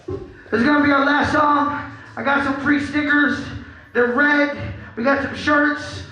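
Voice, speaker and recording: A man with a very high, bright voice banters loosely from a punk club stage, cracking jokes and swearing between songs. His voice booms through the PA into a very echoey room. Crowd noise hums behind him. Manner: casual, joking, profane. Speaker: punk band frontman.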